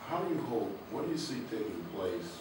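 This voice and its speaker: A man, a pastor in the audience, asking a question in a halting way, repeating himself as he searches for words. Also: on the low side, sing-song. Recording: echoey room, distant mic, audible room noise.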